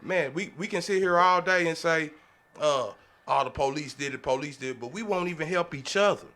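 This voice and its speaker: A man's voice: on the high side, a little fast, bright, forceful tone. Emphatic man in casual podcast talk. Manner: emphatic, colloquial, repeats himself. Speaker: podcast panelist. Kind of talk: casual heated discussion.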